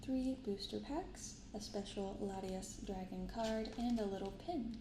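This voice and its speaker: A soft-spoken woman hosting an unhurried ASMR-style unboxing, recorded in an untreated apartment room. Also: mid-range voice. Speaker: host of a card unboxing channel. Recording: roomy untreated apartment, clear background noise.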